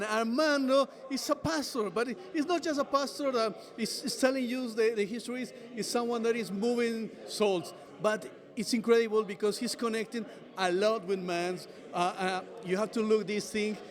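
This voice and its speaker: A man hosting a casual interview, speaking haltingly, often repeating words, with non-native phrasing. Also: very high-pitched. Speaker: interviewer host.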